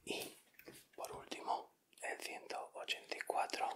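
A young man with a Castilian Spanish accent whispering in ASMR style as a host, addressing his viewers directly and without hurry. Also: a little slow.

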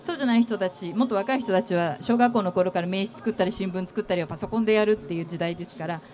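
A woman's voice: medium pitch, rapid-fire. Middle-aged woman asking on an expo stage. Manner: gentle, rambling questions with many fillers. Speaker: interviewer, company executive. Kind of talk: stage interview at a trade expo. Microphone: handheld mic.

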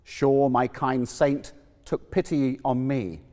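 A man giving a theatrical, measured poetry recitation with emphatic pauses. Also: medium pitch, dark tone. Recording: long echoing hall reverb.

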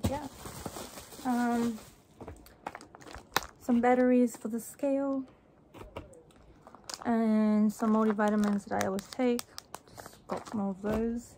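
High, mellow young woman vlogging. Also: fairly even intonation, slow, long pauses. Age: young adult. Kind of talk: casual haul vlog chat. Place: small room indoors. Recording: faint background noise.

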